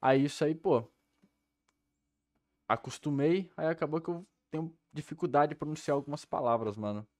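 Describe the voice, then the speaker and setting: A man's voice: a little high, moderate pace, darkish tone, no room sound, studio quality. A young man with a Brazilian accent giving casual, slangy commentary as a reaction host on a headset mic. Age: young adult. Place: small room indoors.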